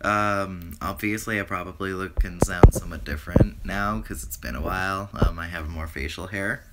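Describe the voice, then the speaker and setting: A man whose voice is raspy from a recent flu, rambling through a casual video update with lots of fillers and restarts. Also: low voice, moderate pace, heavy background noise. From Canada. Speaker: vlogger.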